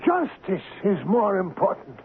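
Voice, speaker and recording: Principled sea captain, grave and high-pitched, old radio serial, narrow hissy recording. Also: male voice, very animated, measured pace. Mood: earnest. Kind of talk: old-time radio drama acting. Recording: muffled, noisy old radio drama recording.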